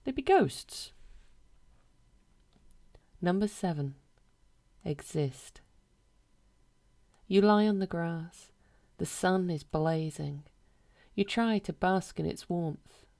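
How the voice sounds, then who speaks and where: This is a woman narrating a short story. Her pitch is low for a woman. She reads the scripted prose in a measured manner, with a deadpan delivery throughout.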